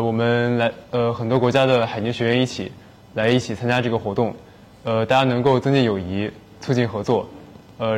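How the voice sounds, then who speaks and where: A young man from China, a naval cadet, speaking carefully and formally with filler pauses. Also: mid-range voice, a little mellow. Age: young adult.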